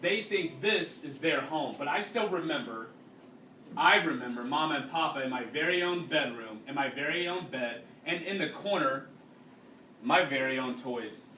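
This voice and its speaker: A man's voice: a little high, expressive, pushed and bright. A man reading a story aloud as a teacher, in an explanatory way aimed at students he questions along the way. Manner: explanatory, pausing to question young listeners. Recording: roomy, distant mic with faint noise.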